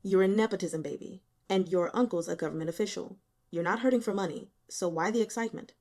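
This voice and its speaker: A tired male office boss from an audiobook, played by a woman narrator. He talks in a casual, offhand way, brisk and blunt, with a wry teasing edge. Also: mid-range voice, darkish tone, natural voice.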